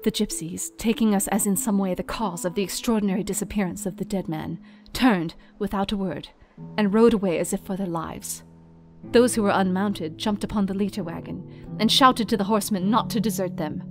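An actress playing a grieving young Victorian wife, narrating an audio drama in a solemn, dramatic way. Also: female voice, medium pitch, a little fast, faint music behind, close to an everyday voice. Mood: urgent.

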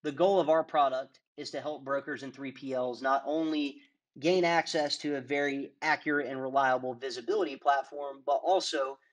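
A man walking viewers through a software product demo in a steady, explanatory presenter's manner. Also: medium pitch, normal speed. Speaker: product demonstrator.

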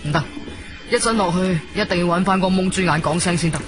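An eager, fussy man house-hunting in a radio drama, played by an actor. His voice is high-pitched and he thinks aloud, grumbling or daydreaming to himself, with music playing clearly behind him. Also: fast talker, natural voice. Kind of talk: Cantonese radio drama acting. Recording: music bed behind studio voice.

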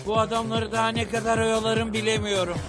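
A man voicing a cocky, excitable young slacker hero who pilots a giant robot in a cartoon dub. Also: high voice, a little fast, lightly acted. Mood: sheepish. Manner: boastful, excitable. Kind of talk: dubbed cartoon comedy acting. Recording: dubbed voice under loud music.

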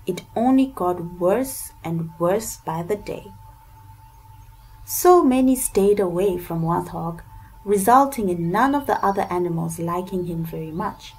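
A woman narrating a scripted folktale as an expressive storyteller, with deliberate emphasis. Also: fairly low pitch, breathy edge, faint music behind, low-quality recording.

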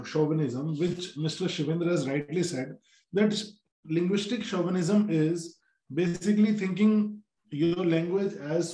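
An Indian man speaking as a panelist in a video call discussion, explaining at a measured pace with frequent restarts and fillers. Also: high-pitched, mellow. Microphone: laptop on a video call. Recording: roomy video call audio.

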